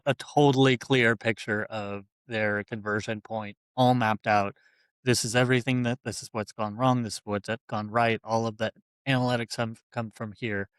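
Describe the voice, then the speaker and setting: A man talks in a relaxed, conversational way in a casual podcast, laughing easily and filling gaps with "you know". His pitch is ordinary middle. The recording is dry and close. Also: no echo, poor audio. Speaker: podcast host, website builder.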